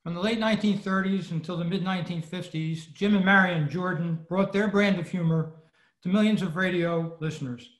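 A man's voice: sits high, fairly even intonation, brisk. An older man giving an informal prepared presentation over a video call from indoors. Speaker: presenter at a club meeting. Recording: roomy, echoing video call audio.

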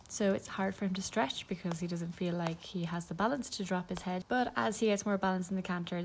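Chatty young woman with a gravelly edge. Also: fairly low pitch, a little mellow, a little hiss or hum. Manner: casual, chatty, many ums and small laughs. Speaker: equestrian vlogger. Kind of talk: voice-over talking through a ride.

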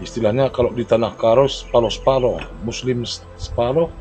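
A man's voice: mid-range voice, fairly even intonation, fast, darkish tone. A man from North Sumatra, a local guide giving brief, plain answers outdoors in a village. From North Sumatra, Indonesia. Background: music.

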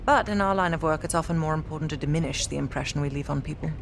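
An actress playing a poised, sardonic British intelligence agent in a video game, articulate and measured, with a dry manner. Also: female voice, low voice, creaky, a little hiss or hum. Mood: dry, businesslike. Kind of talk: scripted video game cutscene acting.

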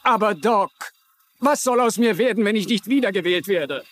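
A man's voice: high voice, expressive, normal speed, a bit bright. A man playing an anxious, hapless small-town mayor in a TV drama, hesitant and plaintive. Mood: worried. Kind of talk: dubbed TV drama dialogue in German.

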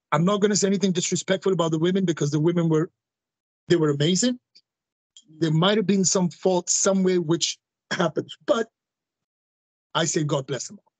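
A man in his forties talks forcefully over a video call, repeating himself for emphasis. His voice is a touch husky and sits a little high for a man. The sound is close and dry. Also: dry recording. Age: 40s. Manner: forceful, repeats phrases, addresses listeners directly. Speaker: guest. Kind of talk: emphatic interview talk.

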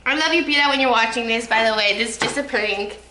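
A woman's voice: fairly high pitch, fast talker. A teenage young woman chatting casually and giggly with friends as a YouTube vlogger, in an echoey room. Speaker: YouTube vlogger making prank calls. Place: small living room indoors. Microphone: camera a short distance away. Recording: echoey room with light noise.

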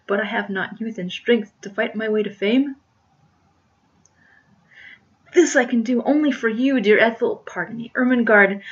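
A woman's voice: fairly high pitch, highs cut off, voice pushed up, close to an everyday voice. A woman voicing an earnest young male melodrama hero, florid and self-important, declaiming with grand romance in a storybook reading. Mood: lovestruck pleading.

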